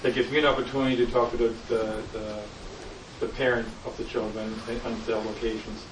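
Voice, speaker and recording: A man's voice: mid-range voice, little pitch movement, fairly quick. A middle-aged man with a German accent speaking earnestly on a panel, rambling and restarting his sentences. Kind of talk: panel discussion. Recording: roomy, distant mic, noisy.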